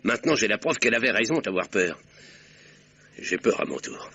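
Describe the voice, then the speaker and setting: A deep, slightly husky doctor-sleuth in a vintage radio play, dry and ironic as he lays out his reasoning. The sound is dull and muffled, like an old broadcast tape. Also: animated, a little fast, bright, forceful tone, heavily acted. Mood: worried. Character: shrewd male doctor-detective, calm and ironic. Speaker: amateur detective doctor. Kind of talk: 1960s French radio drama acting.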